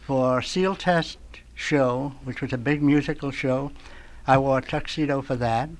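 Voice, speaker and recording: A man's voice: medium pitch, fairly even intonation, measured pace. Emphatic mature man reminiscing on radio. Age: middle-aged or older. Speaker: veteran radio sound effects man, guest. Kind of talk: nostalgic reminiscing in conversation.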